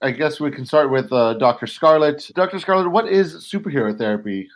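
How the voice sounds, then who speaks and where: A man's voice: a little high, animated, brisk. A man co-hosting a therapy podcast, talking in a friendly, rambling way with laughs. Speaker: podcast co-host, doctoral candidate. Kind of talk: casual podcast chat.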